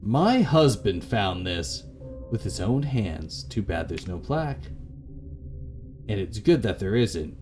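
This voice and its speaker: A man's voice: fairly low pitch, very animated, takes it slowly, over music. A man voicing a confused, grieving elderly widow with failing memory in a visual novel, wistful and subdued.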